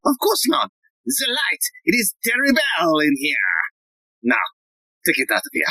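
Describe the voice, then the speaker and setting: An actor playing a vain, bumbling police inspector in a comedic audio play. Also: male voice, extremely high pitch, very animated, measured pace, sharp tone, slightly rough, no room sound, full character voice. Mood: brisk.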